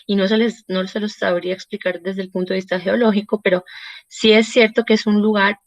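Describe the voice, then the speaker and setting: A woman's voice: medium pitch, fairly even intonation, fairly quick, no room sound. A woman from El Salvador with a Salvadoran Spanish accent gives an informal, discursive lecture to students, often checking in with short tag questions. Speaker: university lecturer. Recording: slightly dull, lo-fi audio.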